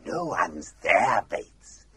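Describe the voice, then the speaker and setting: A man's voice: deep voice, sing-song, a bit bright, slightly rough, pitched below the performer's own voice, full character voice. A man voicing an unseen, mocking male presence in a radio play, drawn out and eerie. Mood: taunting. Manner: slow, taunting.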